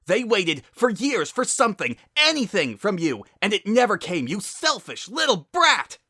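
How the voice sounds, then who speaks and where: A bitter, furious female pegasus pony from a dramatic story reading, acted out by a man. The voice is pushed well above a natural male pitch and is bright and forceful. She hurls accusations with wide, animated swings. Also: moderate pace, slightly rough, no echo, clean studio sound, exaggerated voice. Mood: furious screaming. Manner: accusing, forceful, loud outbursts.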